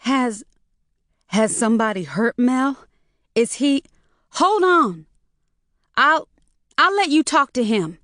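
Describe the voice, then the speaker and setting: A woman's voice: high-pitched, expressive, takes it slowly, pauses often, a bit bright, dry recording, clean studio sound, pitched above the performer's own voice, full character voice. A woman voicing a nervous young woman who stammers. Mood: stammering.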